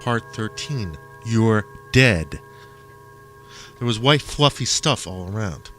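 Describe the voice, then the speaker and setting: A man's voice: on the low side, animated, slow pace, fairly bright tone, faint music behind. A man reading a first-person story aloud as a dramatic audiobook narrator. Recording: faint noise behind the voice.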